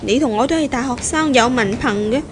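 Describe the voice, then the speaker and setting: Actress as high-voiced proud young fiancée. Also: female voice, brisk, natural voice. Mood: assertive. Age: young adult. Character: young female graduate, proud, coolly probing fiancée. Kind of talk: 1970s Cantonese radio drama acting. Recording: noisy 1970s radio drama recording.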